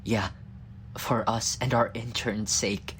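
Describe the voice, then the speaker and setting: A man's voice: lowish voice, fairly even intonation, takes it slowly, fairly bright tone, a little hiss or hum. A male voice actor playing an exasperated, sarcastic scientist in an ASMR audio roleplay, whiny and weary. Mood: flustered.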